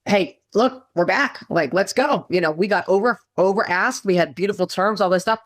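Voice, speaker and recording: A woman real estate agent telling stories in a chatty, animated way over a video call, her voice low in the morning. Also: normal speed, no room sound. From Maryland, USA. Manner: chatty, animated storyteller, lots of fillers and asides. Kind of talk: casual meeting chat on a video call.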